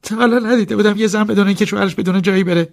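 A man's voice: fairly low pitch, creaky, mellow, slightly rough. A man voicing an anxious, servile driver who speaks deferentially and pleadingly to his master, in a dramatized audiobook.

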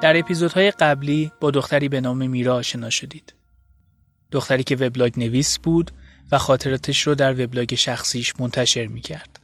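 A man's voice: mid-range voice, steady tone, fairly bright tone, faint music behind. A man reading scripted storytelling narration as the narrator of a podcast.

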